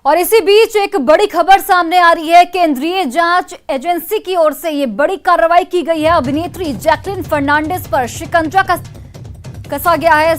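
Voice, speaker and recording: A woman news anchor delivering breaking news in an emphatic, urgent way, repeating key phrases. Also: very high-pitched. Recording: music bed under the voice. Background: news music.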